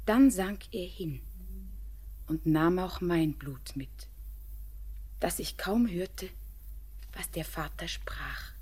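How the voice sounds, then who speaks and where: A woman's voice: on the low side, takes it slowly, long pauses, a little mellow, breathy edge. An actress playing a tender, anxious young bride who pours her words out in a half-whisper. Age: young adult. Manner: breathless, half-whispered outpouring. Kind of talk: classic radio play acting.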